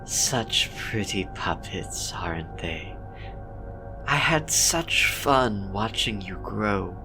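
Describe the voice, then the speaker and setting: A man voicing an ancient female cosmic deity in a horror story, bored and sweetly menacing, with drawn-out, condescendingly tender delivery. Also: on the low side, vocal fry, voice pushed up, heavily acted, low-quality recording. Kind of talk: dramatic horror story reading.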